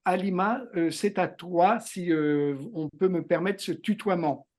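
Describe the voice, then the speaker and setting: A man moderating a webinar, speaking in a measured, polite way with frequent fillers. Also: sits high, a little mellow. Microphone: video call microphone.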